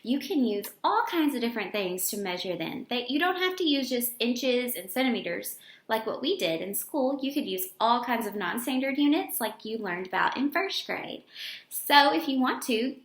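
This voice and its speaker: Higher-voiced woman reading aloud in echoey room. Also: moderate pace. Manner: expressive, explains to children in simple words. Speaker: elementary school teacher. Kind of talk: picture book read-aloud for young children with explanatory asides. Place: indoor room with echo.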